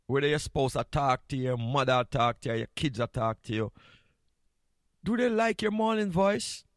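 A man's voice: medium pitch, no room sound, studio quality. A man with a Jamaican accent, sitting in as a morning radio host, chatting jovially with chuckles and repeated greetings. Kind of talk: casual morning radio chat.

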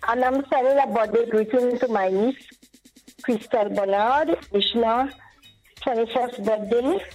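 A woman's voice: on the high side, a little slow, darkish tone, soft background music, poor audio. A woman with a Grenadian accent chatting casually as a caller to a radio show, playful, teasing and familiar. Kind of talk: casual chat.

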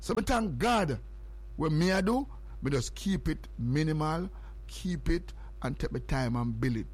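A Jamaican man hosting morning radio, talking in a fairly high voice. He loops the same phrases and reads numbers out again and again, building up his audience. His delivery is pure broadcast patter. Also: lively intonation, measured pace. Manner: repetitive, hypes and repeats phrases and numbers. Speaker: radio host.

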